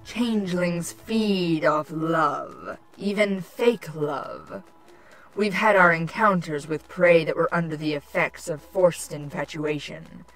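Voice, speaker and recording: A woman voicing a haughty changeling queen villainess with a scolding, deliberate manner, in a cartoon fan-fiction audio drama. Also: sits low, fairly bright tone, rough recording. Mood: haughty lecturing. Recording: music under the voice.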